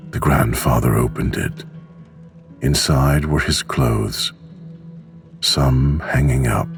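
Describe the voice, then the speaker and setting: A man reading a bedtime story as narrator, slow, soothing and expressive, with soft music underneath. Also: extremely low pitch, gravelly.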